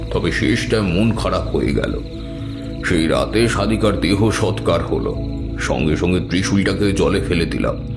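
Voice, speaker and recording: Casting: male audio-story narrator, low register, dramatic first-person delivery over clear background music.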